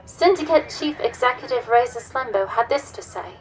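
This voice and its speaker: A woman acting as a news anchor in a sci-fi radio play. Her voice is high and serious, reading dramatically, and sounds set back from the mic. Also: expressive, normal speed, far from the mic, lightly acted, poor audio. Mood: grave, urgent. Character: serious female television news reporter. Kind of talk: news bulletin in audio drama.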